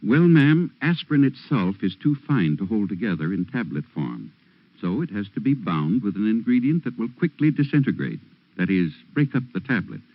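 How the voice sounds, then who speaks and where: A man reads a vintage radio commercial, explaining earnestly. His voice is on the low side with very lively inflection, heard on a dry, slightly muffled old recording. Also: normal speed, no echo. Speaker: commercial pitchman. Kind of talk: scripted 1940s-50s radio advertisement.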